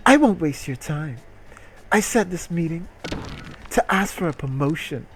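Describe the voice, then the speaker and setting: Novel heroine, read by a man: assertive office woman, polite and earnest, slightly raised pitch, measured pace. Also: expressive, a bit bright, breathy edge, heavily acted. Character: assertive female employee asking her boss for promotion.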